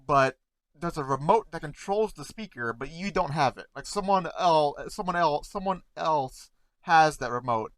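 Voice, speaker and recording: A man in casual talk to camera, halting and stuttering, repeating words and often trailing off softly, close to the mic at home. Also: fairly high pitch, lively intonation, no echo. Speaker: content creator reacting to memes.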